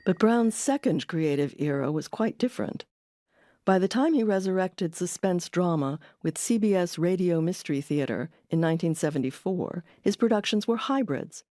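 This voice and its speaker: A woman's voice: fairly low pitch, lively intonation, normal speed, dark tone, no room sound, clean studio sound. A woman hosting an audio documentary, delivering scripted narration. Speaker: documentary host.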